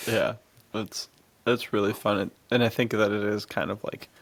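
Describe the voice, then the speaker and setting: A man's voice: fairly low pitch, little pitch movement, moderate pace, breathy edge, dry recording. A man co-hosting a podcast, chatting casually and enthusiastically about action movies in a rambling way. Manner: enthusiastic, rambling, chuckling often. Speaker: podcast co-host.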